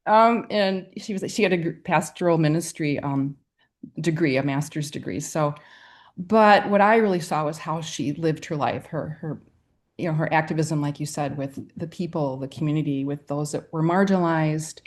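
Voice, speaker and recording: Low-voiced middle-aged woman, reflective. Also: normal speed. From Wisconsin. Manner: earnest and reflective, with light laughs. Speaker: guest poet and writer. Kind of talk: interview answers.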